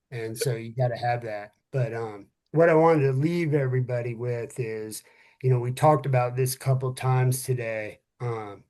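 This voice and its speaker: A man's voice: medium pitch, a little mellow. A middle-aged man co-hosting a first responder podcast, talking earnestly and candidly with friends, casual and given to swearing. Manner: earnest, candid, salty-tongued. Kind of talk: casual heartfelt talk among friends.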